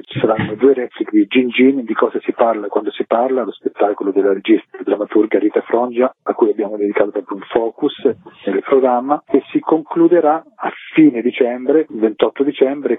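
A husky-voiced man speaks as an interview guest over a telephone line with a noticeable echo. The sound is narrow and boxy. He explains things at length as a festival co-director, in flowing, detailed sentences. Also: mid-range voice. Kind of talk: radio phone interview, explanatory rundown.

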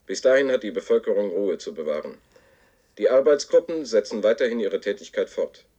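A stern, authoritarian wartime propaganda announcer from a 1970s radio play. His voice is rough-edged and declamatory, delivering orders in clipped, official phrases. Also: lowish voice, lively intonation, brisk, mellow. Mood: cold, official.

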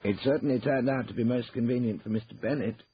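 A man voicing a stern, disapproving senior lawyer in an audiobook, formal, deliberate and pompous. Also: medium pitch, lo-fi sound, a little hiss or hum, voice pushed up, close to an everyday voice. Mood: insinuating. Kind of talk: acted audiobook dialogue.

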